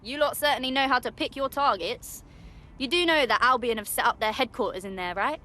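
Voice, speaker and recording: A woman's voice: a little high, a little fast, sharp tone. A British woman playing a savvy, sardonic pub worker who helps the resistance in a game, wry and assured. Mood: wry, conspiratorial. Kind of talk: game cutscene dialogue.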